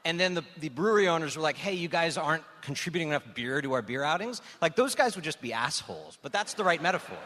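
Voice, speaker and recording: A man's voice: on the high side, very animated, fast talker, hardly any pauses, bright, forceful tone, a little hiss or hum. A male comedian on a live panel, riffing with mock indignation. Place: large hall on stage. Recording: echoey live hall.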